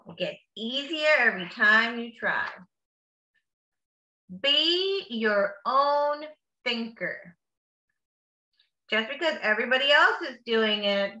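Bright-voiced woman reading slowly to children. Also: a little high. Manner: encouraging, simple explanatory asides to children; slow, drawn-out emphatic reading. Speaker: teacher reading a picture book aloud. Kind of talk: children's read-aloud with encouraging asides. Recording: roomy echo, slightly dull sound.

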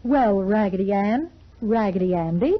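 A woman's voice: mid-range voice, expressive, normal speed, close to an everyday voice. A woman playing a kindly good fairy who tells stories on a 1940s children's radio show. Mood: promising. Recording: dull old 1940s radio recording.

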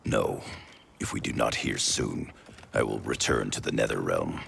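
A deep, gravelly warrior monk. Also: male voice, very noisy, full character voice. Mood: wary. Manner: grave, measured. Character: grave male warrior monk, wary and stern. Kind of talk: video game cutscene acting. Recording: music score underneath.

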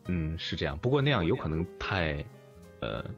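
A man who voice-acts in audio dramas, chatting casually in an interview in a hesitant, thoughtful, halting way. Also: rumbling low, big pitch swings, creaky. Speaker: amateur audio drama voice actor being interviewed. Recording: dull lo-fi voice chat with music. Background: music.

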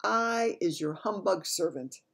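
A woman's voice: fairly low pitch, expressive, creaky, fairly bright tone, exaggerated voice. A woman voicing a huge, kind male giant who mangles his words, speaking grandly and earnestly. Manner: grand, earnest, slow bowing speech.

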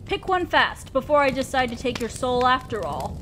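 A woman's voice: high-pitched, very animated, normal speed. A woman playing a haughty, sadistic female demon lord in a scripted audio roleplay, with a theatrical, playful, mock-polite manner. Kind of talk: scripted ASMR-style roleplay addressed to listener. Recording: crackling fire noise behind voice. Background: crackling fire.

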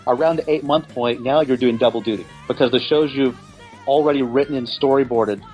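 A man's voice: medium pitch, a little fast, a little mellow, highs cut off, faint music behind. A man answering interview questions casually as an animation producer.

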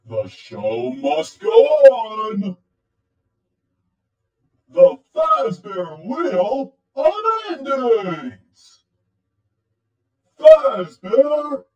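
A man's voice: extremely high pitch, darkish tone, echoey room, highs cut off, exaggerated voice. A man voicing a cheerful animatronic bear showman for kids in a fan-made cartoon, with an exclamatory, theatrical delivery. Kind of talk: fan voice acting for animated movie.

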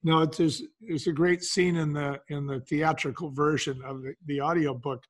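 A man's voice: on the high side, fairly even intonation, normal speed. An older man being interviewed, telling stories conversationally and acting out the quotes as he goes. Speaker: podcast guest, brand co-founder.

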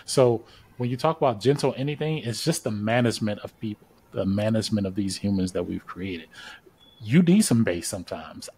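A man's voice: lowish voice, lively intonation, a little fast, darkish tone, breathy edge. A man in his thirties, a podcast guest on a video call, explaining his views at length in a casual, thoughtful way. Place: home studio, indoors. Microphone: large studio mic on video call.

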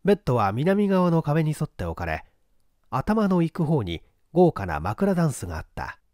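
A man's voice: fairly high pitch, huge pitch swings, moderate pace, a little mellow, no room sound, clean studio sound. A man reading mystery fiction aloud as a dramatic literary narration. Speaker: audiobook reader.

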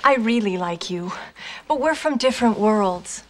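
A woman's voice: medium pitch, expressive, unhurried, lightly acted. An actress playing an earnest young woman who talks in a dramatic, exclamatory way, in a 1990s sitcom.

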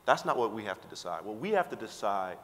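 A man with a lowish voice speaks as a panelist on a conference stage. He talks emphatically and uses rhetorical questions. A long hall reverb trails his words. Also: very animated, fast talker, fairly bright tone. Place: conference stage with studio backdrop.

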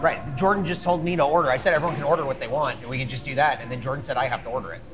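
A man's voice: mid-range voice, steady tone, very fast, rough recording. A young man chatting casually with friends and ordering food, easygoing and a bit hesitant. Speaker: show regular.

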